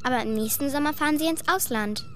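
An actress playing an eager, wilful young daughter in a radio drama, insistent and childlike. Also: female voice, high voice, fast talker, noisy background, lightly acted. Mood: wishful, insistent. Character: eager, wilful little daughter, blunt and dreamy.

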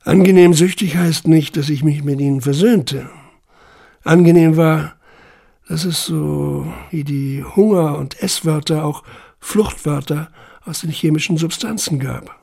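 Radio drama: introspective young deportee, fairly high, dark-toned, deliberate, haunted and intense. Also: male voice, gravelly, husky, heavily acted. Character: introspective young male prisoner, haunted and self-persuading. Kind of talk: literary radio drama monologue.

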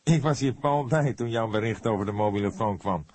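A chatty, weary police photographer from a crime audiobook, acted by a man reading aloud. The voice sits well above the reader's own, loud and exclaiming. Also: fairly low pitch, brisk, dry recording, voice pushed up, close to an everyday voice. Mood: amused chatter.

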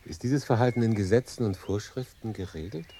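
A man's voice: on the low side, brisk, mellow, a little hiss or hum, natural voice. An actor in his late thirties playing a cool, urbane English consul in a German radio drama, thoughtful, dry and understated. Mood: curious, probing. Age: late 30s.

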